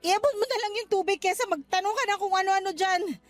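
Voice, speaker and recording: A young mother in a radio drama with a strikingly high voice. She is weary and curt, exasperated by her partner. Faint music runs underneath. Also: quick pace. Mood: irritated. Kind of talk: Tagalog radio drama acting. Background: music bed.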